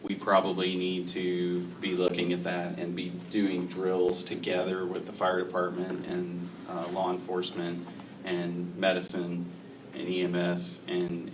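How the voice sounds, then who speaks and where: A man on a community panel, talking loudly and conversationally, often hedging his points. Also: sits low. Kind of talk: panel discussion answer. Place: public library meeting room. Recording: far mic, noisy room.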